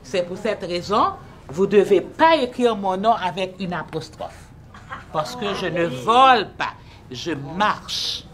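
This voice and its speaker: A teacher introducing herself to a class in a slow, playful, clearly spoken way. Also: female voice, mid-range voice, expressive.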